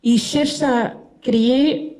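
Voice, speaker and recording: Slow, halting Flemish woman speaker. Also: fairly high pitch, fairly even intonation, dark tone, low-quality recording. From Flanders, Belgium. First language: Dutch. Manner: halting, with frequent self-corrections and restarts. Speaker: former politician giving a conference speech. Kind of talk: prepared speech read from paper. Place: conference in Paris.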